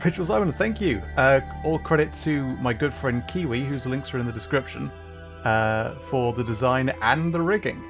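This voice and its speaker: Male streamer, mid pitch, rambling and apologetic, music plainly under his voice. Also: lively intonation, moderate pace. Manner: rambling, self-deprecating, apologetic. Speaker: VTuber streamer. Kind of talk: casual livestream chat. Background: background music.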